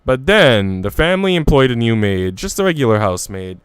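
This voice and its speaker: A man reading a visual novel's young housemaid, who tells her story in a halting, self-deprecating way. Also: medium pitch, big pitch swings, clear, resonant tone, no echo, natural voice. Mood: wistful, self-deprecating. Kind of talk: visual novel dialogue read aloud.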